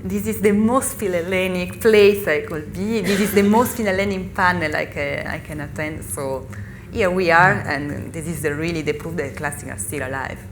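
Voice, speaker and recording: A woman in her thirties from Italy, with an Italian accent and Italian as her first language, speaking haltingly as a panelist at a live discussion. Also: on the low side. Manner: halting, searching for English words. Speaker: panelist, author. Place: festival venue. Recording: live panel recording with noise.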